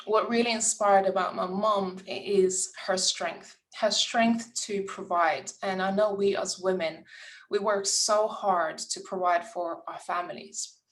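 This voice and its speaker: A woman's voice: medium pitch, fairly even intonation, slow pace, low-quality recording. A woman, hosting a summit and telling a personal story in an earnest, encouraging way. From Lithuania. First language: Lithuanian. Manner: earnest and encouraging, occasional restarts. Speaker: summit host. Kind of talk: inspirational welcome speech with personal story.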